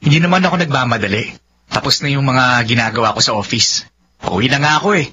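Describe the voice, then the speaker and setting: A man acts out a friendly young suitor in a Filipino radio drama. His voice is bright and melodically lively, casual and a little shy. The recording is muffled with faint noise. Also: mid-range voice, fast talker. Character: friendly young office worker Warren, eager, slightly shy suitor. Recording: dull lo-fi audio with background noise.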